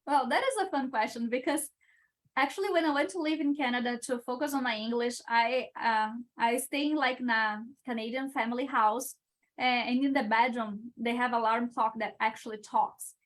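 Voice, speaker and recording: Young Brazilian woman, Portuguese native, speaks English with a slightly high voice and works through her thoughts with frequent pauses and restarts. Video-call interview guest. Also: rough recording. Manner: hesitant, searching for words with many uh's and restarts. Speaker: guest, international engineering student. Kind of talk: live-stream Q&A answers.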